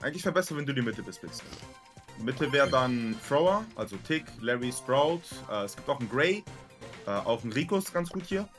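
A man talking fast with a very lively, bouncing pitch as he walks his teammates through tactics. It's casual gaming chat, and faint music sits behind his voice. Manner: quick, lively, explains tactics.

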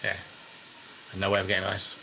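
A man's voice: low voice, completely flat delivery, brisk, vocal fry, noisy background. A man chatting casually and playfully as he narrates a vlog outdoors. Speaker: vlog host. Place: outdoors by a river and boat. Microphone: handheld camera, operator behind it.

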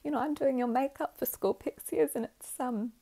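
A woman playing a doting, gushing mother in a soft, often whispered ASMR roleplay, full of soothing endearments. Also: a little high, normal speed, slightly rough. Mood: tearful, overwhelmed. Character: doting, gushing, over-emotional mother doing her child's makeup.

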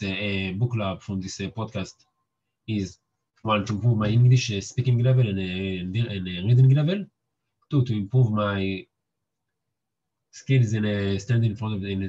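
A young man with a strong Israeli accent, hosting a book club and reading aloud with halting restarts and fillers. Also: on the low side, a little mellow, husky, muffled recording. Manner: halting, with many fillers and restarts, repeating words.

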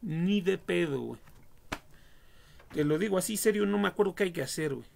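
A man's voice: high-pitched, expressive, brisk, vocal fry. A young adult man with a Mexican accent, giving casual, slangy, foul-mouthed and jokey commentary on a game livestream. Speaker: livestream gamer.

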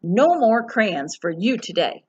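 A woman's voice: medium pitch, very animated, a little slow, slightly rough, highs cut off, voice pushed up. A woman voicing a stern mother scolding her daughter in a picture book story.

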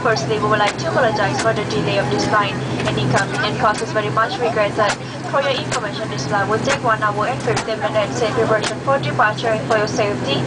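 Malaysian flight attendant under loud engine noise. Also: female voice, mid-range voice. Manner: formal, reciting. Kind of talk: scripted cabin announcement. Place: inside a propeller plane cabin. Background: loud propeller engine drone.

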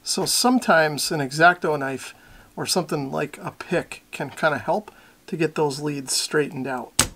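Higher-pitched man explaining repairs. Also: fairly bright tone. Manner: plainspoken, methodical explaining. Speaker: host of a radio repair tutorial. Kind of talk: hands-on how-to narration.

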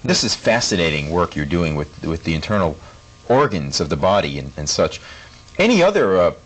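Man acting as deadpan talk show host, low voice, lively intonation, curious, deferential, comedy interview. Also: a little fast. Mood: earnest, impressed. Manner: deadpan, earnest, hesitant phrasing. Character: straight-faced male TV interviewer, curious and deferential. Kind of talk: mock television interview.

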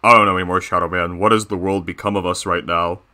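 A man's voice: deep voice, steady tone, fast talker, sharp tone. A man voicing a boastful, bossy cartoon bear boss in rambling, self-satisfied improvised banter. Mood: casual, conspiratorial. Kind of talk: improvised character reaction banter.

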